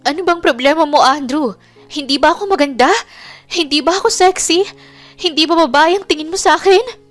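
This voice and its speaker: A woman voicing a hurt, jealous young wife in a romance audio drama, pleading and angry in shrill outbursts. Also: fairly quick, soft background music, lightly acted. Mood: tearful, demanding.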